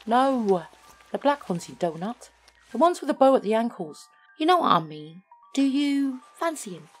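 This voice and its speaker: A woman's voice: a little high, sing-song, moderate pace, soft background music, voice pushed up. A woman voicing a brash, slangy young female best friend who teases bluntly and impatiently in an audio story. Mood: teasing, blunt. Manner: slangy, mocking, exasperated. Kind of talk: scripted audio story dialogue.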